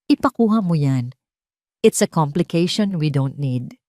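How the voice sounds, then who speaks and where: A woman's voice: deep voice, expressive, a little slow, mellow, no room sound, clean studio sound. A woman voicing a cold, contemptuous billionaire husband with cutting, sneering delivery in an audio drama.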